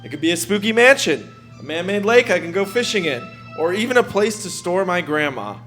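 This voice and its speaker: A raised, high male voice , put on by a man, as a brash and shady pitchman. He sells emphatically in a parody radio commercial, with faint music behind. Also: animated, fairly quick, a bit bright. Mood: pushy, upbeat. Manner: punchy, emphatic salesman delivery.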